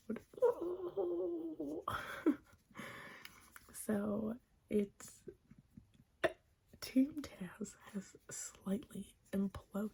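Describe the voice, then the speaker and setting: A woman's voice: medium pitch, vocal fry, a little hiss or hum, poor audio. A young woman whispering a chatty recap of a wrestling show, gushing like a fan and giggling often. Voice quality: soft whisper, frequent giggles.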